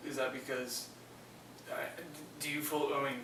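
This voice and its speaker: A man co-hosting a movie podcast, chatting casually about film and haltingly searching for his words. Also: medium pitch, little pitch movement. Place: echoey room, away from the mic. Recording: roomy, distant mic, faint noise.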